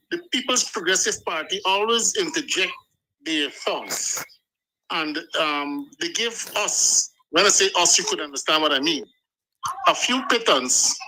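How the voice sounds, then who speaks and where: A woman calling in to a talk show, earnest and pointed, pausing often on fillers. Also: fairly low pitch, bright, forceful tone, low-quality recording. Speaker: caller.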